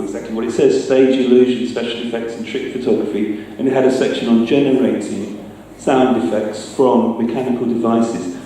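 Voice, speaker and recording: A middle-aged man giving an informal talk, speaking hesitantly with pauses mid-phrase. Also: mid-range voice, warm tone. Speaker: sound designer giving a talk. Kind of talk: informal public talk with slides. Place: large echoey room, speaking to an audience. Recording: distant, very echoey room with some noise.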